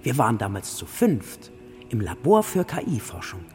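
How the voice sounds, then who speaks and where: An older woman playing a spirited, affectionate grandmother in a radio play, talking in a lively way. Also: a bass voice, moderate pace. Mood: spirited, confiding. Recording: reverberant hall, music behind.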